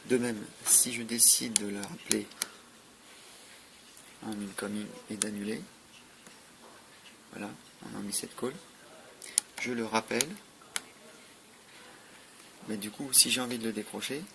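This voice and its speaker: Noisy clicky recording, man, gravelly flat delivery, informal step-by-step demo. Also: on the low side, measured pace, long pauses. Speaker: product demonstrator. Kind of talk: informal hands-on product demonstration.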